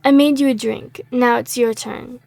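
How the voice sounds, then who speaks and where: A woman's voice: on the high side, fairly even intonation, moderate pace, vocal fry, darkish tone, faint music behind. A woman reading the lines of a friendly female tavern innkeeper in her own plain voice, slightly losing her voice. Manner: reads dialogue aloud in her own plain voice.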